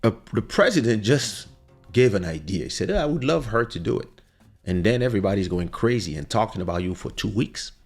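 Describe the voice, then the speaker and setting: Low-voiced French-accented man, lively intonation. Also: fairly quick, slightly rough, faint music behind. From born in Kinshasa, Congo, raised in Paris from age seven. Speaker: podcast host. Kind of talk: unscripted opinion monologue.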